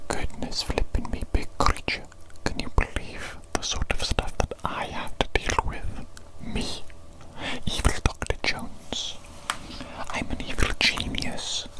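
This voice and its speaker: A woman voicing a pompous, fussy evil doctor in a whispered ASMR roleplay, breathy and given to repeating phrases emphatically. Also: lightly acted, low-quality recording. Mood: smug, grumbling. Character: pompous, exasperated evil doctor, self-proclaimed mad scientist genius.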